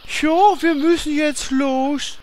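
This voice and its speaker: A woman's voice: high voice, steady tone, slow, slight background noise. A woman playing a flippant, childish adventurer in a parody radio play, with a cheeky drawl. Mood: sulky.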